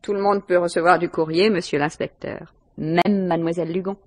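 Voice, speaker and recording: A woman acts a prickly student suspect in an old French radio mystery. She is haughty, precise and quick to take offence, with a husky voice in a close, dry recording. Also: lowish voice, steady tone, a little mellow, no room sound, natural voice. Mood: reluctant, irritated. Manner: defensive, haughty, formally precise. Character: female student lodger, defensive, prickly, indignant suspect.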